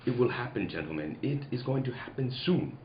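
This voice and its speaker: In a muffled, echoing room, a man acts out a grave alien man of science in a 1940s radio serial. He declaims his prophecies of doom with pompous solemnity and big swings of pitch, now and then tripping on the script. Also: big pitch swings, a little fast, lightly acted. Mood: alarmed. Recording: roomy, muffled, some background noise.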